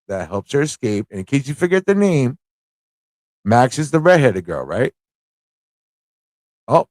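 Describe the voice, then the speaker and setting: A man's voice: mid-range voice, a little mellow, dry recording. Guy hosting a live trivia stream, super chatty n playful, voice goes up and down a lot, pretty dry sound. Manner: playful, chatty, thinking aloud to viewers. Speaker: host of a live pop culture show.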